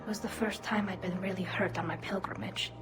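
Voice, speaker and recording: An actress voices a young female alien refugee for a video game. She sounds gentle and earnest, telling things in a measured, reflective way with quiet resilience. Her pitch sits in the middle for a woman, and music plays behind her. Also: steady tone, natural voice. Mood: recounting, hurt.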